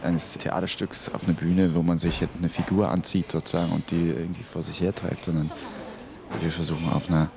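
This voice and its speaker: A man's voice: low-pitched, level tone, brisk, vocal fry, background noise. A man giving reflective interview answers, searching for words and speaking abstractly.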